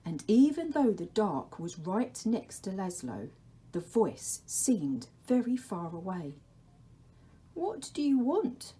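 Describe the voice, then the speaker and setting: Female primary teacher for story time. Mellow, relaxed tone, very measured and gentle read-aloud. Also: on the low side.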